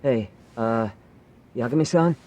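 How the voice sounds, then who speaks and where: A man's voice: mid-range voice, measured pace, darkish tone, slight background noise, lightly acted. A man as wry, easygoing young sidekick. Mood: casual. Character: laid-back, wry young male sidekick. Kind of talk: English dub acting for a game cutscene.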